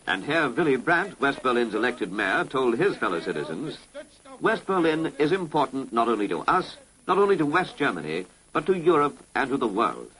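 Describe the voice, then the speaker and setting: A man's voice: medium pitch, big pitch swings, normal speed, fairly bright tone, poor audio. A British man narrating a 1960s public information film in a formal, authoritative way. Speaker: documentary narrator. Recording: vintage 1960s film soundtrack, music behind.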